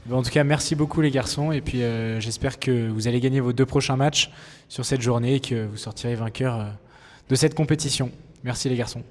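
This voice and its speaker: A man conducts an on-site interview in a polite, slightly halting way. His voice sits at a medium pitch. The hall around him rings with reverb, and music plays faintly behind. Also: steady tone.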